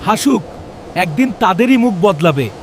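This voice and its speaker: A man's voice: high voice, expressive, normal speed, slight background noise. A man playing a determined young farmer with a dream, earnest and intense, in a cartoon. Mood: defiant hope. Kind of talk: scripted cartoon dialogue. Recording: prominent music behind the voice.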